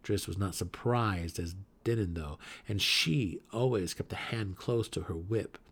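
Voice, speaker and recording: A man's voice: low voice, measured pace, gravelly, fairly bright tone, husky. A man reading scripted fantasy narration in a dramatic, intense and theatrical way. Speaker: audiobook narrator.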